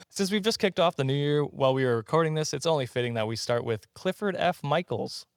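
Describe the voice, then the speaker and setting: A man's voice: a little high, expressive, brisk, dry recording. A man hosting a podcast countdown, telling stories in a casual comedic way with a self-deprecating, jokey manner and frequent laughing. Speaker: podcast host presenting a countdown.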